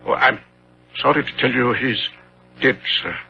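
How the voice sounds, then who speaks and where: An actor playing a devoted, anxious gentleman's manservant in a radio drama, obsequious, solicitous and easily flustered. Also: mid-range voice, moderate pace, creaky, soft background music, lightly acted. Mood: hesitant, solemn. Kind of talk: 1970s radio drama acting. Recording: muffled, hissy 1970s radio broadcast.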